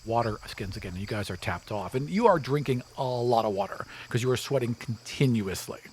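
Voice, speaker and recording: A man running a tabletop role-playing game as game master, describing the scene in a relaxed way with casual asides. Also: medium pitch, a little fast, a little hiss or hum.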